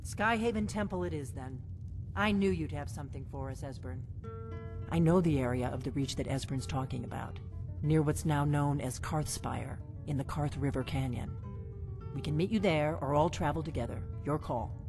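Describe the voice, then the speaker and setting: An actress playing a brisk, guarded, commanding veteran agent in a fantasy video game. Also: female voice, low voice, over music. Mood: brisk, practical. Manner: brisk, no-nonsense.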